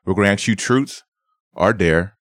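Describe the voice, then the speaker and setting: Brief: deep-voiced man host, dry close mic, loose joking interviewer. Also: moderate pace, fairly bright tone, no room sound. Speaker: podcast host. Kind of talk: casual interview chat.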